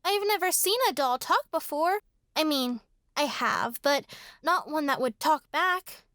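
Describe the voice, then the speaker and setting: A woman's voice: sits high, lively intonation, a little slow, a bit bright, no echo, clean studio sound. A woman playing a vain, fussy, spoiled little girl in a radio drama, with a petulant, blunt manner. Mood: wary. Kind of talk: horror radio drama acting.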